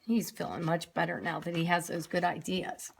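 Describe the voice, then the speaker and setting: A woman's voice: lowish voice, level tone, normal speed, creaky. A woman reading a story aloud expressively to young children, as a nursery teacher who explains things simply.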